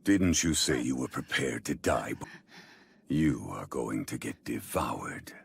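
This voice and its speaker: An actor playing a haughty, menacing alien villain in an anime dub, contemptuous and arrogant in manner. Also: male voice, very deep voice, gravelly, heavy background noise, full character voice. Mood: cold menace. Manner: slow, arrogant, threatening delivery. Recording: loud music over the voice.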